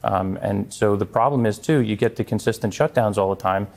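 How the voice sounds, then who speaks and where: A man's voice: on the low side, fairly quick, creaky, a little mellow. A man speaking as a guest in a television news interview, earnest and given to repeating his phrases. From Long Island, New York. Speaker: interview guest, congressional candidate and former air traffic controller.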